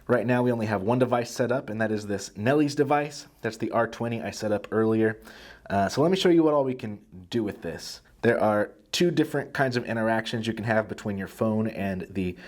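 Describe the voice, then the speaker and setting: A man's voice: fairly low pitch, fairly quick. A man presenting a product tutorial, explaining things step by step in a plain-spoken, casual way.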